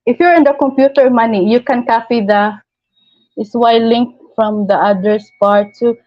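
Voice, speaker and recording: A woman's voice: a little high, normal speed, lo-fi sound. A woman from the Philippines with a Filipino accent, hosting a casual live stream, chatting and reading viewer comments aloud with frequent laughs.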